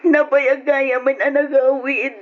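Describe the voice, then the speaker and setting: A woman's voice: high voice, level tone, takes it slowly. A woman playing a wronged, protective mother in old radio drama style, pleading and emotional, on a muffled recording. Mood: sorrowful, gentle.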